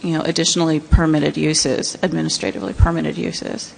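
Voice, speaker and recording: A soft-spoken woman speaking as a commissioner at a public meeting, hesitant with many fillers. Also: low voice, brisk, creaky, big-room sound, slight background noise, poor audio.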